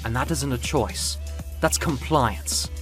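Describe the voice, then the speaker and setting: A man's voice: medium pitch, slow pace, a bit bright, soft background music. A man playing a proud, indignant winged muse who speaks in a theatrical, grandiose, declamatory way for an audio comic book.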